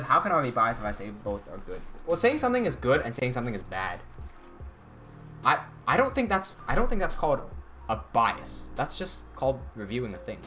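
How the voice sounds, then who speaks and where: A man's voice: medium pitch, fairly bright tone. Young man rambling on a live stream. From Hong Kong. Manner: rambling, talks through chat questions with restarts and swearing. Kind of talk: casual live-stream chat. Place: indoor room at desk.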